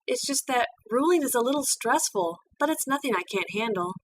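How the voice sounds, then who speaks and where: A woman's voice: fairly high pitch, animated, a little fast, voice pushed up, lightly acted. A woman voicing an earnest, eager young female pony princess who stammers and flusters easily, in a storybook reading. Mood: flustered. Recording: distant mic with background noise.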